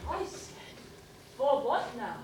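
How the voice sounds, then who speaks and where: A woman's voice: sits high, very animated, slow, a crowd in the background, exaggerated voice. A woman playing a giggly, prim elderly widow. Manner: genteel, mannered, breaks into frequent laughter. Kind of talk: comic character monologue. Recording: roomy, distant mic with background noise.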